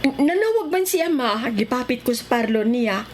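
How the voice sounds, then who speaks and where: An actress plays a defensive schoolgirl daughter in a radio drama. Her voice is on the high side, with a hesitant delivery. The recording is slightly noisy. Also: lively intonation, moderate pace, lightly acted. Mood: defensive, evasive. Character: schoolgirl daughter making excuses to her mother.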